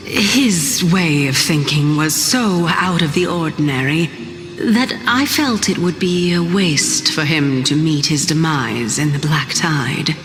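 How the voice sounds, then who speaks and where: A woman playing an ancient goddess in a video game, composed and teasing, with measured, poised delivery. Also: low-pitched, expressive. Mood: composed, musing. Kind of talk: video game character acting. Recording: long reverb, music behind.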